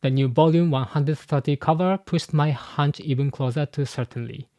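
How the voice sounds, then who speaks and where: A man's voice: on the high side, normal speed, darkish tone, dry recording. Man explaining, dry sound. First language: Japanese. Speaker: YouTube host and translator. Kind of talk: scripted commentary.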